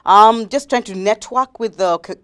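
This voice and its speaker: A woman from Kenya with a Kenyan accent, a guest on a studio talk show, talking in an animated way with repeats and restarts. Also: mid-range voice, moderate pace, smooth.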